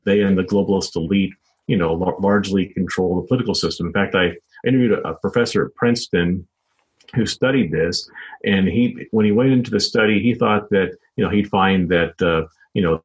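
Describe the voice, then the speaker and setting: An American man explaining his views as an interview guest, in halting, repetitive phrasing full of filler phrases and hedges. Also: low-pitched, slightly rough, low-quality recording. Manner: halting, repetitive phrasing with many "you know" and "sort of" hedges. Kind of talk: informal explanatory interview answers.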